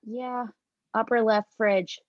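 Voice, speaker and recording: Woman reading a slow, flustered romcom heroine, roomy sound. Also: on the high side, fairly even intonation. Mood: softened. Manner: halting, deliberate, with hesitant restarts. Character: flustered, wounded woman sick with a cold, romantic comedy lead. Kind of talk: amateur script read-through of a romantic comedy. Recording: dull, roomy home recording.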